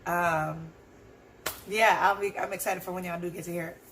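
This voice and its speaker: Hoarse woman musing on her podcast. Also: on the low side, steady tone, moderate pace, a bit bright, a little hiss or hum, low-quality recording. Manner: rambling and earnest, with fillers. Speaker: podcast host. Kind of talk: casual solo reflection.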